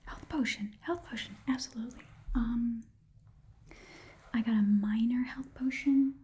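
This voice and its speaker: A woman voicing an easygoing goblin pawn shopkeeper in a soft, breathy whispered ASMR roleplay, haggling in a casual, rambling way. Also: on the high side, steady tone, a little slow, slight background noise, natural voice. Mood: casual, helpful.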